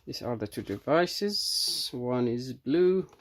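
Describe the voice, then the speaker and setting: A man's voice: medium pitch, lively intonation, slow pace. A man giving a casual, unscripted product demonstration as a reviewer, hesitant and thinking aloud as he goes. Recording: clearly noisy background with clicks.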